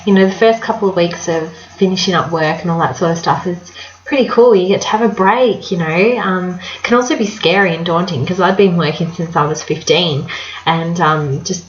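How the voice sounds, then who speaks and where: A young woman chats casually to her camera, full of ums and friendly advice. Her fairly low voice is a little nasal from a cold. The sound is roomy and slightly muffled, like a home recording. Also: moderate pace. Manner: chatty, warm-hearted advice with many fillers. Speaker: vlogger.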